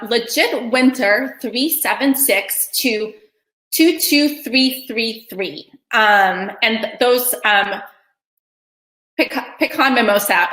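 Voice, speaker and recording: A woman's voice: mid-range voice, pushed and bright, echoey room, low-quality recording. A woman from Kosovo whose first language is Albanian, hosting an online meeting in a chatty way with many ums and restarts. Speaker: event host. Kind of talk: casual online meeting chat.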